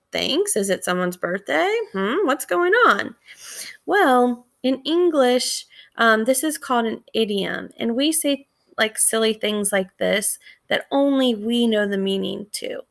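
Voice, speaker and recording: Slow, creaky-voiced woman teacher. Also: on the high side, lively intonation. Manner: conversational, asks questions, thinks aloud. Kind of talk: casual read-aloud lesson commentary.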